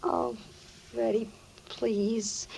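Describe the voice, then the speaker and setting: An actress playing a distraught, naive young working-class girlfriend in a 1940s radio drama, halting and pleading. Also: fairly high pitch, flat delivery, gravelly, dark tone, slight background noise, heavily acted, rough recording. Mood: pleading, apologetic.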